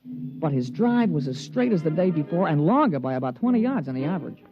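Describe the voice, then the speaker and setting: A man's voice: a little high, big pitch swings, a little fast. A man playing a folksy, eager golf caddy in a 1950s radio drama, telling the tale in slangy, colloquial speech. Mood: wry storytelling. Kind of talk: 1950s radio drama acting, narration and dialogue. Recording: muffled vintage 1950s radio recording, music behind.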